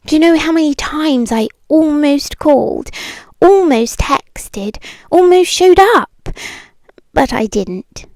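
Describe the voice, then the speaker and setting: A woman with a British accent playing a possessive, obsessive ex-girlfriend in an ASMR roleplay, breathy and often dropping into whispers, intimate and sweetly menacing, close to the microphone. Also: high-pitched, darkish tone, no room sound. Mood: longing, possessive. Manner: slow, intimate, lingering delivery.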